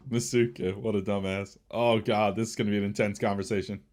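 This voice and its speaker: A man's voice: on the low side, darkish tone. Casual male reaction host, slightly nasal. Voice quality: slightly nasal early-morning voice. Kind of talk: casual chatty reaction commentary.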